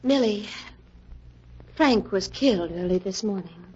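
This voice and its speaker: A woman's voice: medium pitch, animated, a little slow, warm tone, slightly rough. An actress playing a grave, anxious young woman in a solemn 1940s radio drama manner. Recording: dull vintage 1940s radio recording.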